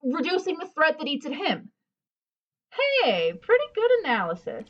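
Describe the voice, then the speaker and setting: Pompous, chatty busybody housewife played by an actress, very high animated voice, audio comedy, slightly dull recording. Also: female voice, normal speed. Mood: self-assured, advising. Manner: pompous, chatty, self-assured. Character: bossy, know-it-all gossipy housewife friend, amateur psychologist. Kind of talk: comedic audio drama acting.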